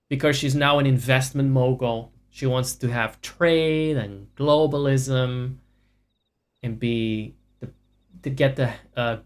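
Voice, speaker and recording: A man livestreaming a video game, giving casual commentary in a profane, sarcastic, ranting way. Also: medium pitch. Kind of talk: casual live gameplay commentary.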